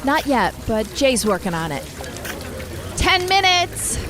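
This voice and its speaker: A woman's voice: high-pitched, sing-song, takes it slowly, music in the background, full character voice. A woman playing a tough, guarded commander of a survivor militia in a radio drama, speaking tersely and with command. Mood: brisk, commanding. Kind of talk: post-apocalyptic radio drama acting.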